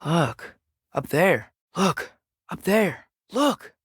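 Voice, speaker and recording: A man's voice: fairly high pitch, very animated, extremely slow, fairly bright tone, slightly rough, no room sound, clean studio sound, full character voice. A young male actor playing a curious, watchful teenage boy adventurer in a video game, giving short companion callouts. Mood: alert, prompting.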